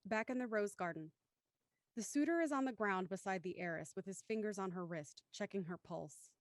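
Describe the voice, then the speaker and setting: A woman narrates an audio thriller with a mid-range voice. Her scripted delivery is measured and descriptive, recorded close and dry. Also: medium pitch, no room sound. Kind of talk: scripted audio drama narration, reading stage directions.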